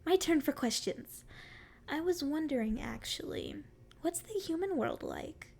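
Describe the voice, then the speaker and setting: Casting: female performer as a sweet, playful forest fairy for ASMR roleplay, very high voice with a creaky edge, teasing and curious. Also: expressive, unhurried, a little mellow, full character voice. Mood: curious, eager. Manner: sweet, flirty, teasing endearments. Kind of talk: ASMR roleplay, addressing the listener.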